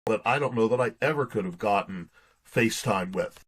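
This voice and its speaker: Low-voiced man in a halting, reflective interview. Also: fairly bright tone, breathy edge. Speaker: guest author being interviewed. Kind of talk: casual interview chat.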